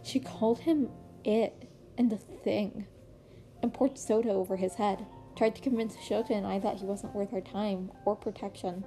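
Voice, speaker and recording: A woman's voice: medium pitch, steady tone, moderate pace, warm tone, soft background music, lightly acted. A woman voicing a concerned, loyal male teacher in a story, recounting things earnestly. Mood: grim.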